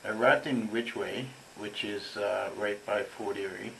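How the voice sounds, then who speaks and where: A man with a London accent talks casually about his life as a guest. His voice is low and gravelly, with very little melody. The recording has clear background noise. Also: fairly even intonation, moderate pace, fairly bright tone, rough recording. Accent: London English. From London, England. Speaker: interview guest. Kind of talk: casual personal account in an interview.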